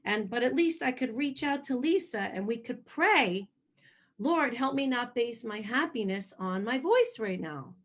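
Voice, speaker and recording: High-voiced woman singer telling stories. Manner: animated storytelling, self-described hyper. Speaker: singer interviewed about faith. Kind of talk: casual interview answers.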